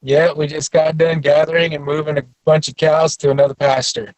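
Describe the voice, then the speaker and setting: A man with a husky voice chatting casually on a video call. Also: on the high side, little pitch movement, fairly quick, no echo, low-quality recording. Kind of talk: casual chat.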